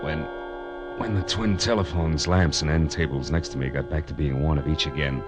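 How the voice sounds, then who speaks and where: Wry tough detective in old radio show, deep voice, music under it. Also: male voice, dull audio. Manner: dry, wisecracking. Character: wry, hardboiled male private detective. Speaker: detective narrator in a radio crime drama. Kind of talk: hardboiled 1950s radio detective narration and dialogue.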